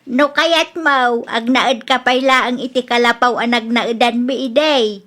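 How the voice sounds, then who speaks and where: A proud, sharp-tongued mother-in-law scolds in an Ilocano accent, as a woman acts her out for a radio drama. Her voice sits high and rings bright and edgy. She talks with an indignant, scolding bite. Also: steady tone, a little slow. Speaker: mother-in-law in a family quarrel.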